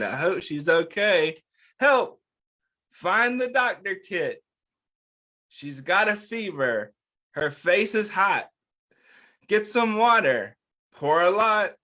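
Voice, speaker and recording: A man's voice: on the high side, bright, forceful tone. A man hosting children's storytime, reading a picture book aloud and talking directly to young listeners with simple, encouraging questions. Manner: talks directly to young listeners, asking questions and answering them.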